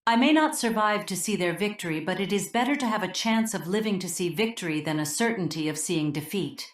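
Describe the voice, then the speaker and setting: A woman's voice: mid-range voice, expressive, fairly quick, close to an everyday voice. A woman playing a calculating female demon defector in an audiobook drama, speaking formally and measuredly in a roomy, echoing sound. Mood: urgent pleading.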